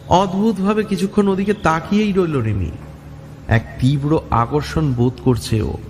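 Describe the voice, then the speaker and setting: A man's voice: on the high side, a little mellow, a little hiss or hum. A man narrating a scripted horror story as a dramatic storyteller. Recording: long reverb.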